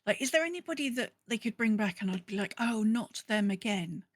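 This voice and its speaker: A woman's voice: mid-range voice, expressive, brisk, no echo, studio quality. A woman co-hosting a podcast, talking casually and animatedly, often acting out imagined remarks. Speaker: podcast co-host. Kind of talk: casual conversational discussion of a video game.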